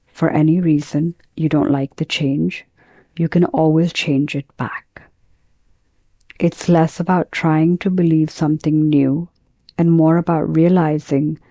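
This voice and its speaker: A woman teaching guided meditation, speaking in a calm, gentle, measured way with pauses between phrases. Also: low-pitched, no echo.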